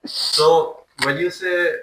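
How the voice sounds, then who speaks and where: A man's voice: on the high side, steady tone, drawn-out words, bright, forceful tone, slight background noise, rough recording. A man of about twenty, hosting a casual podcast chat with short, teasing, probing questions. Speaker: podcast host, interviewer.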